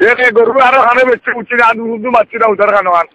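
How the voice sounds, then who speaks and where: Fast-talking high-voiced Sylheti man, exasperated. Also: fairly even intonation, background noise. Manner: protesting, exasperated, repetitive. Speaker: call recipient. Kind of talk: phone argument. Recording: muffled lo-fi phone call, dry.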